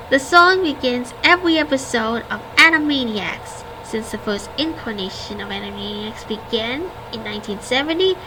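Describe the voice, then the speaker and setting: A woman's voice: sits high, background noise. A woman in her twenties, a vlogger reading a scripted history and reciting long lists of names and dates. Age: 20s.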